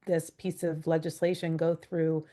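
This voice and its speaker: A woman speaking in an interview in a measured, deliberate way. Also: sits low, level tone, warm tone.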